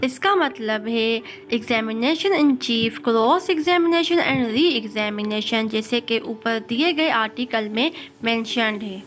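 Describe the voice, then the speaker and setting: A woman giving an exam-focused law lecture. She reads English notes slowly and then explains each point in Hindi-Urdu. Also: on the high side.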